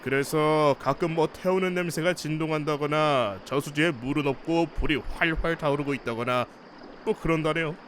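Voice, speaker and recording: Man acting easygoing fisherman, higher pitch, folksy, chatty, teasing, visual-novel character, faint cricket ambience. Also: moderate pace, a bit bright, a little hiss or hum, lightly acted. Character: easygoing chatty male night angler. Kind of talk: acted casual dialogue. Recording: faint night insect ambience behind voice.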